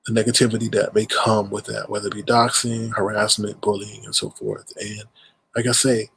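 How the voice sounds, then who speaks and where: A man's voice: on the low side, level tone, moderate pace, vocal fry, fairly bright tone, slight background noise, low-quality recording. A man with a stuffed-up nose hosting a casual livestream, rambling and greeting viewers in chat mid-sentence. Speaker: livestream host.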